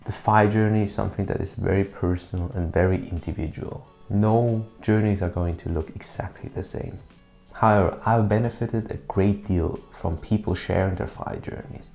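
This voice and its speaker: A man's voice: fairly low pitch, creaky, a little mellow. A man in his thirties from Switzerland narrating his own vlog in an earnest, matter-of-fact way with dry asides. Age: 30s. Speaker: YouTuber. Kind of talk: scripted personal vlog narration.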